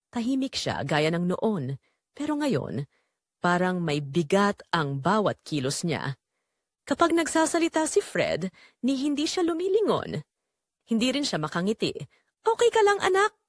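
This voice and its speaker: A woman's voice: fairly low pitch, wildly swooping pitch, measured pace, no echo, studio quality. A woman with a Filipino accent reading a scripted first-person drama in an emotive, solemn way. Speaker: audio drama narrator.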